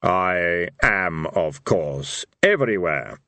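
A man voicing Death, a solemn otherworldly reaper in an audiobook, speaking slowly, gravely and formally. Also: low voice, big pitch swings, pushed and bright, no echo, pitched below the performer's own voice, exaggerated voice. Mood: solemn, detached. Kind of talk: audiobook character voice.

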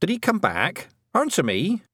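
A man's voice: sits high, wildly swooping pitch, unhurried, a bit bright, breathy edge, no room sound, clean studio sound, pitched above the performer's own voice, full character voice. A man voicing an anxious farm mother who speaks in an urgent, pressing way for an audiobook.

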